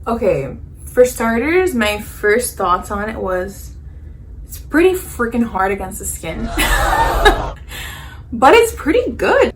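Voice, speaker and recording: A woman in her thirties talks through a makeup routine in a loose, chatty way, tossing in jokes and plenty of fillers. Her voice sits a little high and stays animated. A faint hum runs under the home recording. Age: 30s. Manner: chatty and animated, filler-heavy, jokey asides. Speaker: beauty vlogger. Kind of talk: casual makeup chat to camera.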